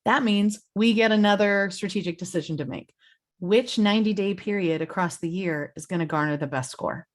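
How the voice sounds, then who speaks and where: A woman's voice: mid-range voice, moderate pace. A woman giving an explanatory slide presentation as a webinar presenter, in a conversational way. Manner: conversational, explanatory, checks in with "right?". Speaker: webinar presenter, program expert.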